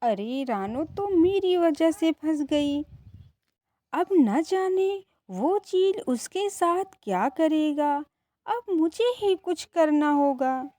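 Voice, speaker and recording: Woman doing young female cartoon bird, impulsive, childlike, pleading, high, lively pitch swings. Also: slow pace. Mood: worried.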